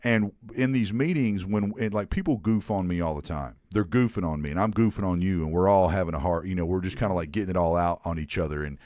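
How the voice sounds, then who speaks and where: A young man with a fairly low voice hosts a casual podcast, joking and rambling through anecdotes. The sound is dry and close to the mic. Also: fast, few pauses, a little mellow, no echo. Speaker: podcast host.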